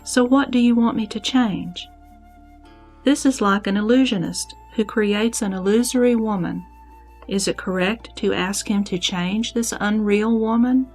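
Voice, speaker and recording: A woman playing a serene, wise heavenly goddess in a scripted sutra audio drama, teaching in a measured, declamatory way. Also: mid-range voice, a little mellow. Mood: calm, instructive. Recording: background music under the voice.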